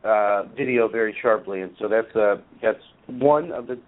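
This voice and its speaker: A man with a hoarse voice from a cold, a guest in an interview, explaining at a measured pace with many filler pauses. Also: fairly low pitch. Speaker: guest, nonprofit executive. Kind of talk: conversational interview answers. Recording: phone-line audio.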